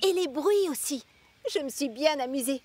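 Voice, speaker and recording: High, lively, friendly engine. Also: female voice, normal speed. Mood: cheerful. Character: cheerful, friendly female steam engine. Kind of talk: children's cartoon voice acting, French dub.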